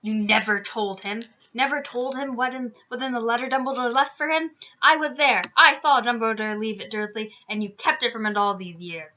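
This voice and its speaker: A woman voicing a huge, gruff but kindly male giant gamekeeper with an Irish accent and a booming, hot-tempered delivery in a storybook reading. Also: lively intonation, a little fast, bright, forceful tone, muffled recording, a little hiss or hum. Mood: bewildered, indignant. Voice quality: booming, growling.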